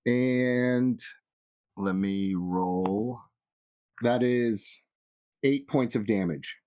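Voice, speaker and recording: A man's voice: mid-range voice, very slow, mellow, clean tone. A man narrating a tabletop role-playing game as game master, casual and free with swearing. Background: music.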